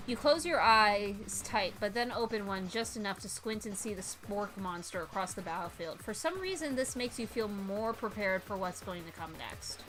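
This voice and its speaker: A woman streamer doing a playful let's-play, reading game text aloud and reacting with animated exclamations. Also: mid-range voice, moderate pace, few pauses, faint music behind.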